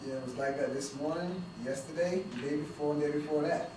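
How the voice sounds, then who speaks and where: An earnest male cop who cannot let a case go and teases his partner, read by an actor at a table read. The voice sits a little high. The sound is very echoey and distant, with noise. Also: quick pace, lightly acted. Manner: earnest, teasing. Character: dedicated, needling male vice cop. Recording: echoey room picked up from a distance.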